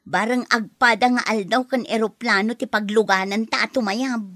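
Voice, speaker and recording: A woman's voice: fairly high pitch, steady tone, moderate pace, a bit bright, a little hiss or hum, lightly acted. An actress playing a cheerful young woman with an Ilocano accent who laughs often in a radio drama.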